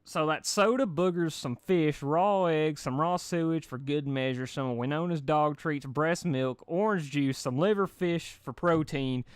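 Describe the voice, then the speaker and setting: Folksy, gullible cartoon farm mare, dazed and slow to catch on, played by a man; close, dry sound. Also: on the high side, clear, resonant tone, no echo, voice pushed up, natural voice. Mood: puzzled. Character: dim, folksy, trusting female cartoon farm pony.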